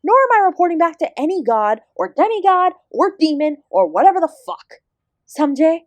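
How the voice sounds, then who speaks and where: Woman voicing ancient dancer goddess-servant, high female voice, dry close sound, sarcastic, exasperated, emphatic, audio roleplay. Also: sing-song, normal speed, no echo. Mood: defiant. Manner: sardonic, sweary, emphatic. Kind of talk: scripted audio roleplay addressed to the listener.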